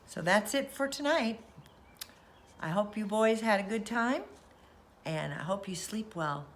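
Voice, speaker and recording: A woman reading a children's storybook aloud as bedtime narration, in an expressive storytelling manner. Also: medium pitch, a little slow.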